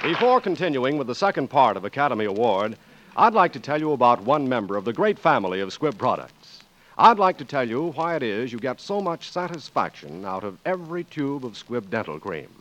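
Male American announcer, medium pitch, lively inflection, selling a sponsor's product in vintage 1940s radio style. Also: rough recording.

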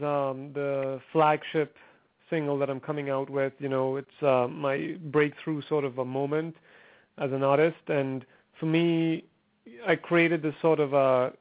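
A man, a musician talking casually as a guest in an upbeat, rambling way with many fillers. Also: fairly high pitch. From Trinidad and Tobago. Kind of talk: casual phone interview. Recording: tinny phone line.

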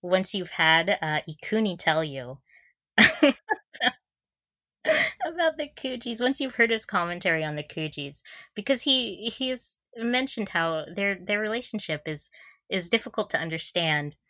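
A woman's voice: fairly low pitch, animated, a little slow, sharp tone, dry recording, studio quality. A woman co-hosting a podcast in a casual fan chat, often laughing through her sentences. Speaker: podcast co-host.

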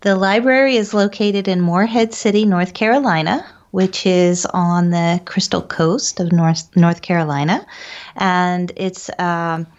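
A woman interview guest recounting things conversationally, in halting phrases. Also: on the low side. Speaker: guest, paranormal investigator and medium. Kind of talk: interview account in conversational speech. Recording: dull lo-fi remote-sounding line.

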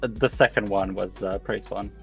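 A man's voice: fairly low pitch, fairly even intonation, brisk, vocal fry, faint music behind. A man from Lithuania, a 3D artist, speaking modestly and casually as a guest, laughing often. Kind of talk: casual interview.

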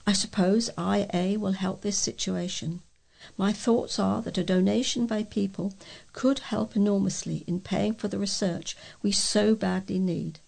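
A British woman reads letters aloud for a local news recording. Her voice is very creaky and somewhat husky, set at an average pitch for a woman. She keeps a measured pace of reading, with an occasional stumble over a word. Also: steady tone, darkish tone. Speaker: volunteer newsreader for a local talking newspaper.

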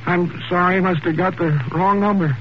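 An actor playing a furtive male intruder feigning calm on the telephone in a 1940s radio drama. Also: sits high, moderate pace, close to an everyday voice. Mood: falsely reassuring. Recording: muffled, noisy vintage radio recording.

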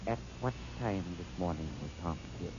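A smug, deep-voiced murder suspect. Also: male voice, animated, a little fast, a little mellow. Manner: cool, taunting. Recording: dull old radio broadcast with hiss.

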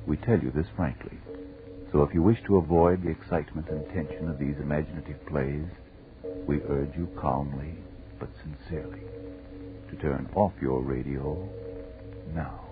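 An American man announcing in a dramatic, declamatory 1940s radio style. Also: rumbling low. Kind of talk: 1940s radio horror show announcing and ad reading. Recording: tinny, band-limited 1940s radio recording.

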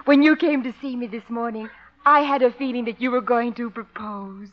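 An actress playing a gushing, coquettish and pushy sweetheart in a 1950s radio comedy. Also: female voice, a little high, steady tone, normal speed, close to an everyday voice. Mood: coy, teasing. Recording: muffled, hissy old radio recording.

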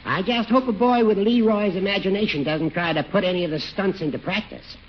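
An actor playing a dry, needling old judge in a 1940s radio comedy. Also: male voice, high voice, a little fast, natural voice. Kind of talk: 1940s radio sitcom acting. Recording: dull, noisy 1940s radio recording.

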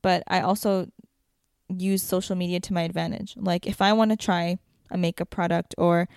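Young woman, casual co-host, lowish voice, rambling and chatty, dry close recording. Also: flat delivery, normal speed, darkish tone, smooth, no echo. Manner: chatty, lots of "like", trails off mid-thought. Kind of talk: casual chat.

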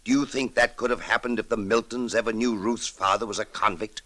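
A man's voice: fairly low pitch, fairly even intonation, brisk, pushed and bright. An actor playing a weary, proud old ex-convict father in an old-time radio drama, pleading with feeling. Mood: urgent pleading. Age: older.

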